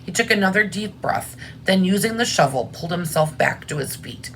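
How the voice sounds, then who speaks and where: A woman's voice: lowish voice, expressive, fairly quick, a bit bright, a little hiss or hum, poor audio. A woman reading aloud expressively as a teacher, with simple, informal explanations about what to draw. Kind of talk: expressive read-aloud with drawing asides.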